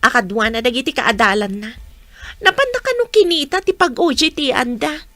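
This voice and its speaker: Earnest young Ilocano student, high voice, bright and forceful, dry studio sound, radio drama. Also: female voice, expressive, a little fast, no echo. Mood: explaining. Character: earnest young female college student daughter.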